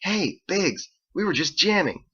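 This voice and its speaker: A man's voice: fairly low pitch, sing-song, a little fast, fairly bright tone, husky, muffled recording, heavily acted. A man voicing a goofy, eager young male roommate in a novel, with a chatty, slangy manner. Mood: cheerful.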